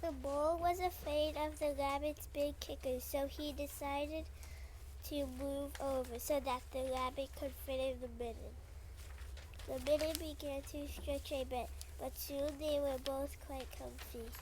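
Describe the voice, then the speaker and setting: High, even-pitched woman narrator. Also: measured pace, slight background noise, rough recording. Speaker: story narrator. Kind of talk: scripted children's story reading.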